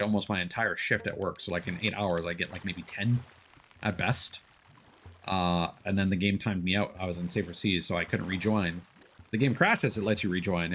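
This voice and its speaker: A man casually explaining game mechanics on a gaming stream in a halting, rambling way. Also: lowish voice, a bit bright, a little hiss or hum.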